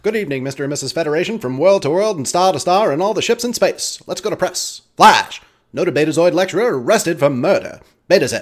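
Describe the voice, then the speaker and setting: A vintage radio news commentator, breathlessly fast and urgent. His clipped headlines swing up and down in pitch, with the punch of a 1940s newscast in a radio play. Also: lively intonation. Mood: urgent, brisk. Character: brisk hologram of an early twentieth-century radio news commentator.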